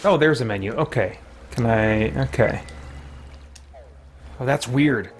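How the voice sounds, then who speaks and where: A man's voice: mid-range voice, unhurried. A man giving casual game commentary as a streamer, talking to his viewers. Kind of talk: reads game dialogue aloud. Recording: music playing behind the voice. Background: music.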